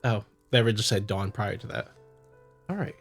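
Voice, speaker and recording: A man's voice: lowish voice, expressive, normal speed, vocal fry, faint music behind. A man hosting a visual novel playthrough, chatting casually and reading aloud, joking and stumbling over the text. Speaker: host, gamer.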